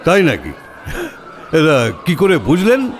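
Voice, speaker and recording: An actor playing a pompous, arrogant, portly police inspector in an audio drama, with a booming, blustery voice and haughty delivery. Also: male voice, huge pitch swings, a little hiss or hum, exaggerated voice. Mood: sceptical, mocking. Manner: haughty, theatrical, drawn-out questioning.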